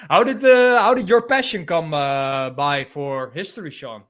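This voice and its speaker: Dutch-accented man, twenties, somewhat high voice, loose chatty podcast talk with fillers. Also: measured pace, fairly bright tone. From Netherlands. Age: 20s. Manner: loose and chatty, many fillers, laughs often. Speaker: podcast co-host. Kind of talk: casual chat.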